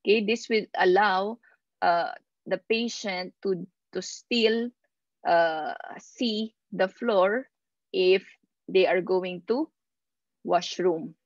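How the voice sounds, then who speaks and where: A woman lectures as an instructor, reading points off slides and then explaining them. Her delivery is slow and halting, with pauses in the middle of phrases, at a medium pitch. The sound is that of a dull, lo-fi video call with very little room echo. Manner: halting, pausing mid-phrase. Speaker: instructor of nursing assistant course.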